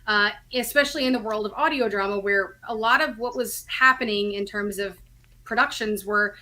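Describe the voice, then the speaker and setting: A woman's voice: medium pitch, moderate pace, sharp tone. A woman talking casually as an interview guest, explaining things in a chatty, enthusiastic way. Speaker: guest, audio drama writer and co-creator.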